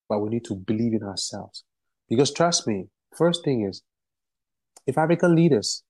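A man from Ghana speaks with a low, dark and somewhat husky voice, emphatic and passionate as he answers interview questions. His sound is dry and close, with no room around it. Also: no room sound. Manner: passionate, emphatic, repeats phrases for stress. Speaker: guest musician and activist.

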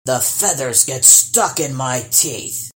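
A woman's voice: rumbling low, expressive, pitched below the performer's own voice, heavily acted. Woman doing a growling boastful troll. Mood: gruff boasting. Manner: growling, slow and loud. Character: huge boastful three-headed male troll.

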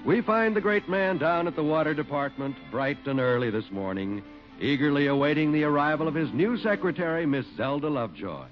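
A man announcing on a 1940s radio show, reading in a smooth, persuasive, enthusiastic manner. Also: a little high, animated, a little fast, faint music behind. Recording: dull old radio broadcast.

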